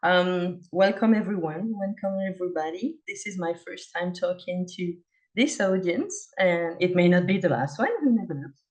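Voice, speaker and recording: Belgian french accent lady giving a talk on a video call, lowish voice, friendly, laughs a bit. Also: moderate pace, darkish tone, low-quality recording. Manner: friendly, light laughs between points. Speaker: guest speaker. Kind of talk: online presentation. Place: home in Portugal.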